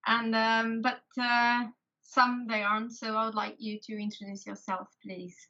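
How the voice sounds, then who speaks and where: A Portuguese woman with an accent, hosting a video call interview in English, talking haltingly with many restarts. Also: a little high, level tone, pushed and bright. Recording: lo-fi call audio.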